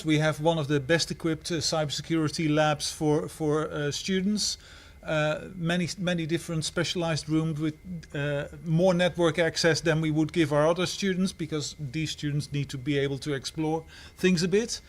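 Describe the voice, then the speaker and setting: A man from the Netherlands with a slightly high voice speaks as a head of school. He talks haltingly, stopping and restarting his phrases often. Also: fairly even intonation. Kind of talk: answering questions on a livestream.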